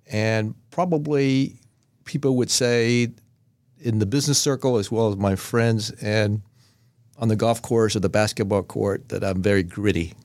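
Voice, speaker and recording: A man in his fifties, originally from Vietnam, answering as a podcast guest in a measured, earnest way. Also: on the low side, dry recording. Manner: measured, earnest, pauses between phrases. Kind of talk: reflective interview answers.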